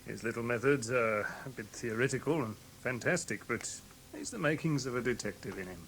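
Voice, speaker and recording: An actor playing a plain-spoken, slightly patronizing British police inspector in a classic radio drama. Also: male voice, mid-range voice, expressive, fairly quick, fairly bright tone, slight background noise, rough recording.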